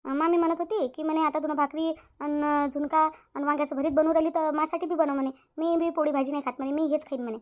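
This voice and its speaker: Woman acting a rapid-talking, resigned village sister-in-law. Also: high voice, fairly even intonation, highs cut off, lightly acted. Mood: explaining. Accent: rural Maharashtra, Varhadi Marathi. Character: harried elder village sister-in-law, resigned, dutiful, accommodating. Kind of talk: domestic cartoon story dialogue.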